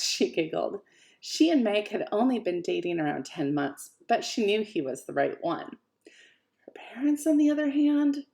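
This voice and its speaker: A woman reads her own fiction with lively, expressive storytelling. Her voice sits at a middle pitch with a noticeable gravelly fry. She brings an author's personal warmth of delivery to the page. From Canada. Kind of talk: audiobook-style fiction reading.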